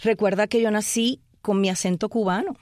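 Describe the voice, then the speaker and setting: Animated woman telling stories. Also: medium pitch, brisk, no room sound. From Puerto Rico. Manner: animated, slips into voices, many tags like "¿sabes?". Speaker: actress and impressionist guest. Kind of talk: lively storytelling in a chat interview.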